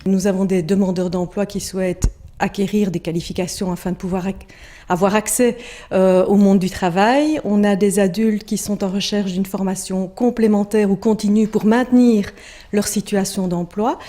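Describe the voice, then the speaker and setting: Mellow mid-pitched woman, articulate interview explainer. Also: fairly even intonation, moderate pace.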